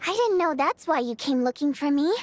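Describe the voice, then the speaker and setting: An actress playing an excitable, outspoken young woman with a dramatic, chatty delivery in a video game. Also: high voice, a little fast, no echo. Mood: relieved, cautious. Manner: expressive, exclamatory, chatty.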